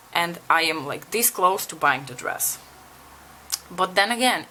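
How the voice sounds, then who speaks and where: A woman's voice: lowish voice, pushed and bright, a little hiss or hum. A young woman from Bulgaria chatting candidly to viewers while doing her makeup, asking them for advice and swearing casually. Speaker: beauty vlogger. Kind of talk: casual makeup tutorial chat.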